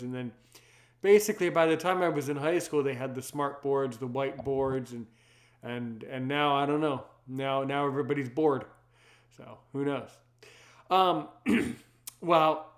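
Brief: man in his 30s, native French speaker, deep earnest voice, reflective casual chat. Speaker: conversation partner.

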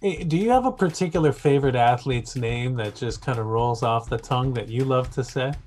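A man's voice: medium pitch, fairly quick, a little mellow, faint music behind. A man hosting an interview, asking friendly, upbeat questions and praising a student. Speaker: interview host.

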